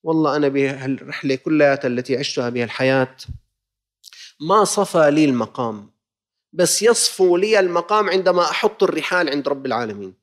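A man's voice: on the high side, animated, fast. Fervent preacher, man with a Levantine accent. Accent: Levantine Arabic. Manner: impassioned exhortation with rhetorical questions to the listeners; switches between formal classical phrasing and colloquial dialect. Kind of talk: sermon.

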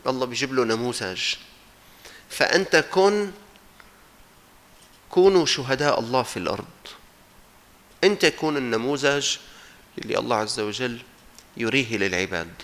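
Bright-voiced Syrian man preaching. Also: medium pitch, expressive, moderate pace, pauses often. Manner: emphatic, exhorting, with rhetorical repetition. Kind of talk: religious sermon to an audience, mixing formal and colloquial speech.

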